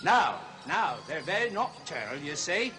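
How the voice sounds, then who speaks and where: A man's voice: fairly high pitch, measured pace, music in the background. A man playing a fussy, chattering comic zoo show host. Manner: excitable, chattering, fussy showman.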